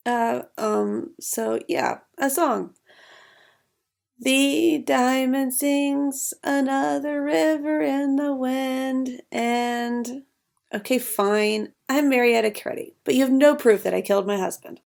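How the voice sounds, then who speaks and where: A woman acting as a scheming society lady in a noir mystery play, pitched high with a heavy crackle in the voice. She is haughty and defends herself with indignation. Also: takes it slowly, creaky, darkish tone, heavily acted. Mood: flustered. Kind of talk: audio drama acting.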